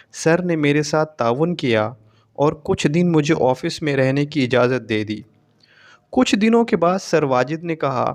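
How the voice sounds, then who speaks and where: A man reading a scripted, emotional first-person story as a narrator. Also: fairly high pitch.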